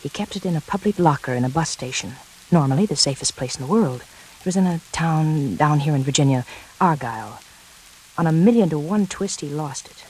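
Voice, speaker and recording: An actress playing a cool, seductive schemer in a 1940s radio thriller, smooth-talking and coaxing. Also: female voice, low voice, expressive, brisk, a little mellow, low-quality recording. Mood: confiding, seductive. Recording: hissy 1940s radio recording, rain noise.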